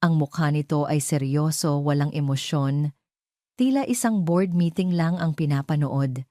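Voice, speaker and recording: A woman's voice: deep voice, normal speed, mellow, no room sound, clean studio sound. A woman narrating a scripted dramatic story.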